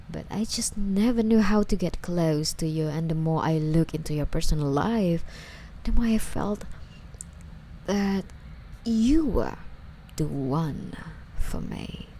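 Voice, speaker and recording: Woman acting out a slow, mellow, obsessive yandere stalker. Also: sits low, slight background noise, rough recording. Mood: smug confession. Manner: slow, coy, breathy delivery slipping into whispers. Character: obsessive yandere female stalker, sweetly menacing, coddling her captive. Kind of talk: ASMR roleplay.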